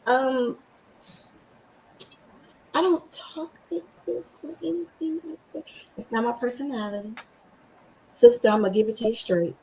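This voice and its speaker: A woman hosting a podcast, with a scratchy voice, talking with excited emphasis and slowly repeating affirmations. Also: a little high. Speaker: podcast host.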